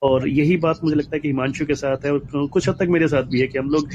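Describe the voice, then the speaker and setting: A man with an Indian accent hosting a film discussion conversationally, with hesitant pauses. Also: fairly high pitch, fairly even intonation, background noise. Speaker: host of a film discussion show. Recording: dull, lo-fi online audio.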